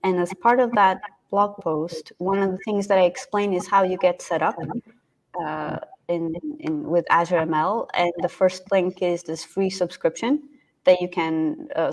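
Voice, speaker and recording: A woman's voice: fairly low pitch, rough recording. A woman in her thirties co-hosting a casual live stream over a video call, chatting with restarts as she explains things. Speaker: co-host. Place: home room, indoors.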